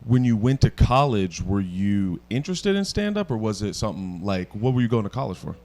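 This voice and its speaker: Lower-voiced man hosting a podcast. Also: big pitch swings, warm tone. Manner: encouraging, quick to laugh, chatty. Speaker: podcast host. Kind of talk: casual interview questions.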